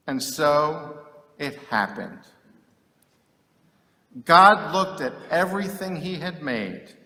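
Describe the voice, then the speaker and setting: A man reading scripture solemnly and dramatically, with deliberate, declamatory phrasing and long pauses. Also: high voice, pushed and bright. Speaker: scripture reader. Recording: echoey hall with long reverb.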